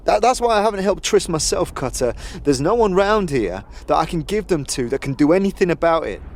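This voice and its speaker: A man's voice: a little high, very animated, fairly quick, darkish tone. A man playing an anxious petty thief and informant who talks in street slang, in a fantasy audio drama. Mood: anxious, pleading.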